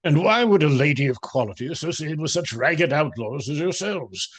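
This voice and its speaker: An actor playing a jovial, scolding friar in a radio play, with churchly phrasing. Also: male voice, a little high, lively intonation, normal speed, slightly rough. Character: Friar Tuck, jovial portly priest. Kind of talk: radio drama acting.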